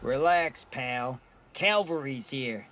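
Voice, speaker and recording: An actor playing a brash, streetwise flying sidekick in an audio drama, cocky and loyal, full of folksy slang. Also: male voice, mid-range voice, lively intonation, slow pace, very noisy. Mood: cocky reassurance.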